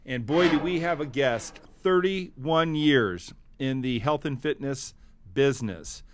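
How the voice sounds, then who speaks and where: A man's voice: mid-range voice, animated, takes it slowly. A man hosting a motivational podcast, with an enthusiastic, punchy delivery. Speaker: podcast host.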